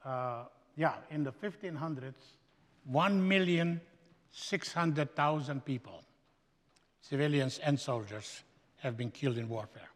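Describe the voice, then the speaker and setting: A man with a Slovenian accent, a guest speaker giving a slow, emphatic sermon in a seminary chapel. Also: mid-range voice. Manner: slow, emphatic preaching with stretched-out phrases. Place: large chapel. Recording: echoey hall with long reverb.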